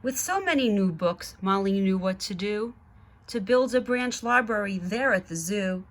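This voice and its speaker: A woman's voice: mid-range voice, expressive, normal speed. A woman reading a children's picture book aloud, speaking directly to young listeners with little asides as she goes. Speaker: guest storybook reader.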